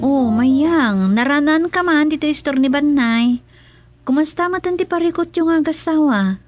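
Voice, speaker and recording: A woman's voice: sits high, moderate pace, music in the background, natural voice. A woman playing a concerned family member in a radio drama comedy, with an Ilocano accent.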